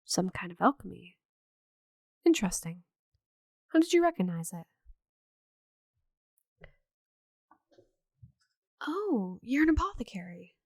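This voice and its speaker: A woman playing a friendly, chatty, slightly scatterbrained forest witch in an ASMR roleplay audio drama, with a gentle, theatrical manner. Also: medium pitch, very animated, normal speed, breathy edge, no echo, studio quality. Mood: curious helpful.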